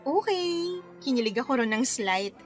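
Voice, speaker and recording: A woman's voice: high voice, expressive, measured pace. A woman with a Filipino accent playing a playful, bashful wedding planner in her forties in a radio drama. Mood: bashful, teasing. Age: 40s. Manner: playful, teasing banter. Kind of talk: conversational radio drama acting. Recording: music bed under the voice.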